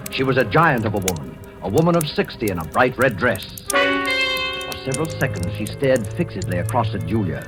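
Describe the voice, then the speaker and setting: A man's voice: fairly low pitch, sing-song. Ominous man narrating over prominent music. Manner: ominous, measured, formal. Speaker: narrator and program host. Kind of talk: 1940s radio scripted narration. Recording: old 1940s radio broadcast.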